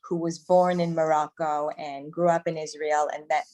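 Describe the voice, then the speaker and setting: A woman's voice: deep voice, level tone, normal speed, warm tone. A woman from Canada, a cooking teacher and author, giving conversational answers in an interview. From Montreal, Canada.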